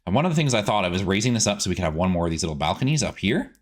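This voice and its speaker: A man giving casual gameplay commentary as a streaming host, chatty and animated, rambling as he goes. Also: deep voice, very fast.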